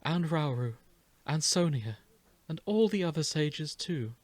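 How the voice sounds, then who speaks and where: A gentle young princess full of earnest regret, played by a man. The words come slowly and tenderly in a dramatic storybook style, in a dry sound. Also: a little high, animated, dry recording. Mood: regretful. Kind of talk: hyperdramatic fan fiction reading.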